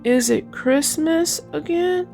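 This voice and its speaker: A weary young schoolboy voiced by a woman from a children's storybook. He talks slowly and sleepily, matter-of-fact, in a slightly high voice with music behind. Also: creaky, a little mellow, heavily acted. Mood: drowsy.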